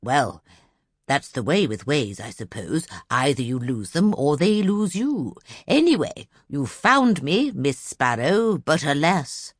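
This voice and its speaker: An elderly, absent-minded gentleman professor as a woman narrator acts him out for a children's story. He is mild and bewildered, with a hesitant, meandering way of talking and a close, dry sound. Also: deep voice, very animated, slow, breathy edge, no room sound, heavily acted. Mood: mildly bewildered. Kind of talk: audiobook character voice for children's story.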